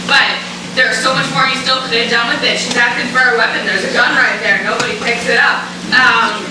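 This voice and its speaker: A woman's voice: on the high side, fairly even intonation, fairly quick. A woman giving casual, colloquial critique from the audience, acting out her reactions as she goes. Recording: echoey room, far mic, loud noise.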